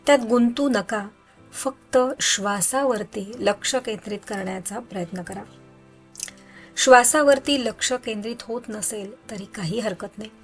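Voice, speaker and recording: A woman narrates a guided meditation. Her voice sits at a middle pitch, and she gives soothing instructions with unhurried pauses. Music plays behind her. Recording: background music under voice.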